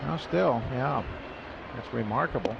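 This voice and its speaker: A man's voice: medium pitch, animated, a little slow, creaky. Chatty male radio baseball commentator. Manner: chatty, anecdotal, chuckling. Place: ballpark broadcast booth. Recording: muffled radio broadcast with crowd noise.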